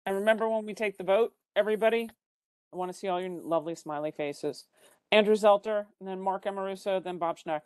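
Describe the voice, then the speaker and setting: A woman chairing a video-call board meeting, brisk and upbeat as she calls on speakers. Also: medium pitch, a little mellow, clear, resonant tone, no echo.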